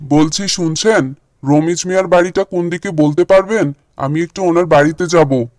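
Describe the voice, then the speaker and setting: A man's voice: on the high side, normal speed, darkish tone, dry recording, clean studio sound, natural voice. A man voicing a polite, inquisitive visiting village matchmaker in a cartoon. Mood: polite, curious. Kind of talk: Bengali cartoon dubbing.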